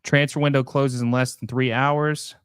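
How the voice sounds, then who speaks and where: A man's voice: mid-range voice, level tone, moderate pace, clear, resonant tone, no echo, studio quality. A young man hosting a casual live stream, talking loosely with lots of fillers and restarts as he reads and answers viewer questions. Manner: rambling, many fillers and restarts. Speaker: livestream host.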